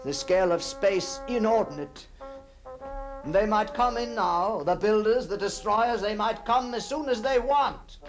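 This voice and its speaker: An actor playing a haunted, obsessive gentleman in a gothic radio monologue, halting and theatrical, repeating his words with a husky, gravelly voice. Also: high-pitched, music in the background, rough recording. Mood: agitated defiance. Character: haunted, obsessive gentleman confronting a ghostly double. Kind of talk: dramatic gothic monologue, radio drama acting.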